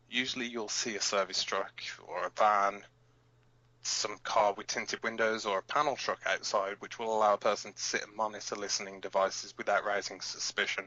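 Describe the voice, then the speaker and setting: A man acts out a practical resistance technician in an audio drama, explaining things frankly and matter-of-factly. His voice sits a little low and has a forceful, bright edge. The recording is slightly dull and lo-fi. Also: dry recording. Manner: instructive, matter-of-fact. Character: male resistance expert on bug detection, frank and practical. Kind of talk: scripted audio drama, tutorial delivery.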